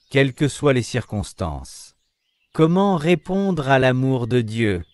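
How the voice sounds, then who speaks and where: A man voicing a gentle Bible teacher, explaining calmly and steadily to children in a cartoon. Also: lowish voice, no echo. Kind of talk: scripted cartoon narration.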